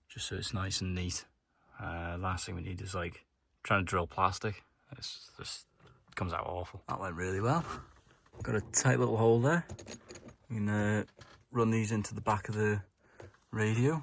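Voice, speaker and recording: Low-voiced man talking through DIY work. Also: a little hiss or hum. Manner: informal, thinking aloud as he works. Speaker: DIY car mechanic presenter. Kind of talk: casual hands-on how-to narration.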